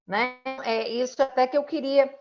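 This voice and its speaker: A Brazilian woman interviewing in a conversational, reflective way, measured and often adding short tag questions. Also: high-pitched, steady tone, faint music behind. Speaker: interviewer, theatre director. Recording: dull, lo-fi audio.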